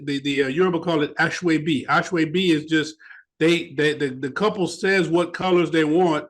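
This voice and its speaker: An American man, a guest author in an interview, telling stories in an animated way and often repeating words as he searches for them. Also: fairly high pitch. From United States. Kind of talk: interview conversation.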